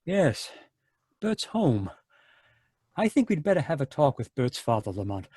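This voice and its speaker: A man playing a husky anxious psychiatrist. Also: medium pitch, sing-song, unhurried, warm tone, dry recording. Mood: worried. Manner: worried, earnest.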